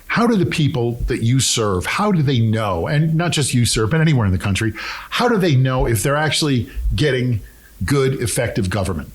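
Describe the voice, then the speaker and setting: A man hosting an interview show, reading a scripted intro and then asking questions in a measured, friendly way. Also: mid-range voice, sing-song. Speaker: podcast host.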